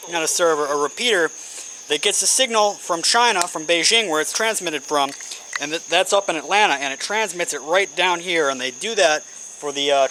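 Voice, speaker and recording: A man giving a casual how-to demonstration, informally thinking aloud as he works. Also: on the high side. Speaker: hobbyist presenting an electronics build. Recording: noisy amateur recording with insect hum.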